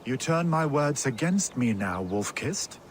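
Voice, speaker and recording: An actor playing a hot-tempered, vengeful Viking warlord in a video game, with archaic, grandiose speech. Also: male voice, medium pitch, animated, measured pace, a little hiss or hum, natural voice. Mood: grim, intense. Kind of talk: dramatic game cutscene acting. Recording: music behind the voice.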